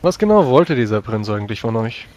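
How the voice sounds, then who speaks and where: A man's voice: medium pitch, big pitch swings, brisk, darkish tone, dull audio, lightly acted. A man roleplaying a practical, well-connected adventurer in a tabletop game, with polite, formal phrasing.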